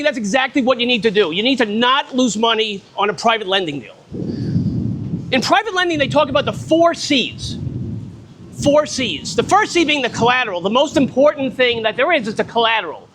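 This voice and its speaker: A man with a loud voice giving a casual, blunt talk at an investor meetup, speaking without a microphone in a restaurant. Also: very high-pitched. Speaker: guest speaker on private lending. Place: restaurant event space in the rain.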